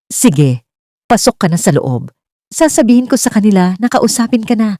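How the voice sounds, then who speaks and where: A woman's voice: medium pitch, very animated, moderate pace, warm tone, soft background music, voice pushed up. A woman voicing a joking, easygoing, flirtatious male store supervisor in a romance audio story, with a breezy, teasing manner. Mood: friendly, teasing.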